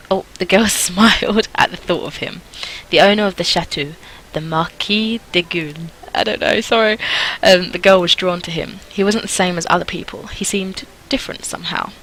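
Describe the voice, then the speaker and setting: A woman's voice: lowish voice, normal speed, fairly bright tone, a little hiss or hum, poor audio. A chatty, self-deprecating woman reading a visual novel aloud with casual commentary. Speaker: gaming video host.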